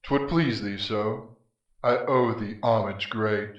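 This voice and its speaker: A grim, scornful spirit of Death acted by a man declaims archaic verse with theatrical defiance. The voice is low and very slow, caught at a distance in a strongly echoing room. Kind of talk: verse stage drama, declamatory. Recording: muffled, echoey, distant from mic.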